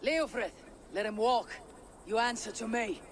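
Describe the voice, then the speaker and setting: An actor playing a calm, firm male Viking warrior leader in a video game. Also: sits high, measured pace, a little hiss or hum. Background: game score.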